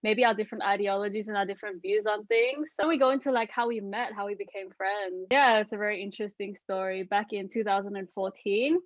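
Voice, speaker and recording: A woman's voice: mid-range voice, steady tone, quick pace, few pauses, no room sound. A Singapore-born woman, chatting casually as a podcast co-host. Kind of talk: casual chat. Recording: dull dry podcast audio.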